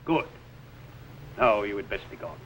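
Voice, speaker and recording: An actor playing a resolute, solemn medieval archbishop, with formal, declamatory speech in 1950s television drama style. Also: male voice, on the low side, very animated, measured pace, a bit bright. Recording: faintly noisy old TV soundtrack.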